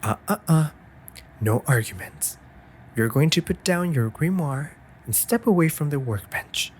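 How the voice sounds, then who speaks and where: A man playing a caring, anxious raven familiar in a comforting audio roleplay, articulate and gently scolding in formal phrases. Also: on the high side, lively intonation, a little slow, slight background noise. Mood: firm, teasing.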